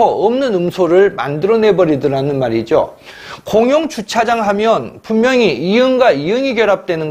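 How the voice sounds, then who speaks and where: A middle-aged man giving a Korean exam-prep lecture, emphatic and scolding, repeating phrases and often clearing his throat. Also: sits high, a little mellow.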